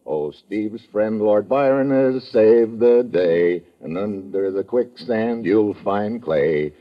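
An actor playing a tough, quick-thinking adventurer in an old radio drama, brusque and wry in manner. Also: male voice, fairly low pitch. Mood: wry, relieved. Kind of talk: 1950s American radio adventure acting. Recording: muffled old radio broadcast.

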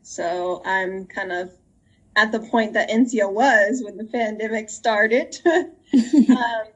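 A woman's voice: medium pitch, slow pace, husky, rough recording. A young woman from the United States, a graduate student, chatting as a podcast guest, joking and laughing as she talks. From Denver, Colorado, United States. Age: young adult. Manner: joking, laughs while talking. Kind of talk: casual conversation.

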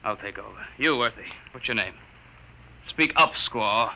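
An actor playing an arrogant, cruel army lieutenant who barks contemptuous orders, in a 1950s radio drama. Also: male voice, on the low side, expressive, moderate pace, creaky, pushed and bright, a little hiss or hum, heavily acted, poor audio. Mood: sneering.

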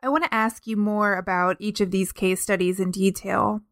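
A woman hosts a book interview podcast, reading introductions and asking questions in a clear, composed voice. The sound is dry and close. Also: mid-range voice, steady tone, normal speed, no echo. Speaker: podcast host. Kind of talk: scripted interview hosting.

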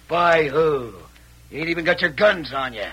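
An actor playing a cocky, belligerent outlaw with a gravelly voice and American Western accent in an old radio drama. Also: male voice, on the high side, slow, sharp tone, heavily acted. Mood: sneering, defensive. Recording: noisy, crackly vintage radio recording.

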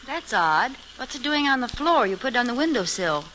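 An excitable high-voiced radio wife. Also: female voice, a little fast. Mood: alarmed. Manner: eager, theatrical delivery. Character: excitable, curious young wife, devoted and easily alarmed. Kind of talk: 1940s radio mystery-comedy acting. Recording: noisy vintage radio broadcast recording.

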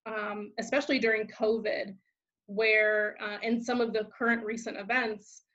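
A woman's voice: mid-range voice, flat delivery, normal speed, fairly bright tone. A woman hosting a conversation, speaking thoughtfully and a little hesitantly, often restarting her sentences. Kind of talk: conversational interview. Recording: dull lo-fi sound.

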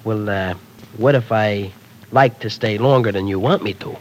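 A man's voice: lowish voice, normal speed, a little hiss or hum, natural voice, poor audio. An actor playing a slangy, pushy, streetwise young man in an old radio drama. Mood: wheedling. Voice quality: slangy tough-guy speech. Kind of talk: 1940s radio acting.

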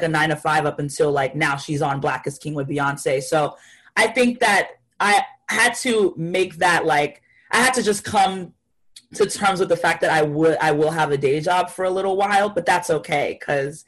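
A woman's voice: deep voice, poor audio. A young woman from Haiti, a guest artist talking casually and thoughtfully in an interview, with many fillers.

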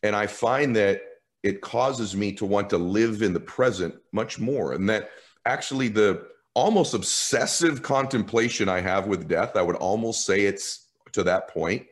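A man's voice: on the low side, a little slow. A man in his fifties hosting a conversational interview, speaking earnestly and effusively as he reflects personally. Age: 50s. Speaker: interview host.

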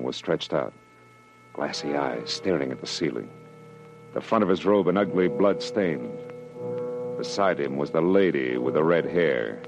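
An actor playing a tough, wry private detective, sardonic and clipped, in 1940s hardboiled radio drama style. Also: male voice, extremely low pitch. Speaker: lead actor and narrator.

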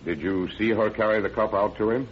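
An actor as a dogged, low-voiced American sheriff. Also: male voice, fairly even intonation, fairly quick, close to an everyday voice. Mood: probing, polite. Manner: firm, guarded questioning. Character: dogged, shrewd, polite country sheriff. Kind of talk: old-time American radio drama acting. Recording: dull, noisy vintage radio recording.

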